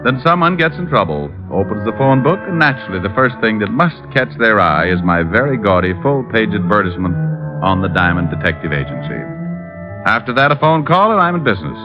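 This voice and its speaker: An actor playing a flippant, self-assured private detective with a breezy, sardonic delivery in an old-time radio drama. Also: male voice, fairly low pitch, very animated, a little fast. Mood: wry narrating. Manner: breezy, sardonic, dry wit. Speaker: lead actor and narrator. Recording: dull vintage radio recording with music.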